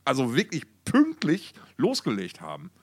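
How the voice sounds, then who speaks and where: A man's voice: medium pitch, huge pitch swings, moderate pace, slightly rough. A middle-aged man co-hosting a music podcast in casual, unscripted chat, enthusiastic and colloquial, often repeating his words.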